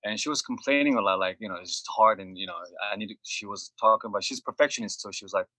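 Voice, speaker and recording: A man's voice: lowish voice, fast, no room sound. Laid-back man telling studio stories. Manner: relaxed storytelling, fillers like "you know". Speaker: label head and producer guest. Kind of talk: casual interview over video call. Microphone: video call.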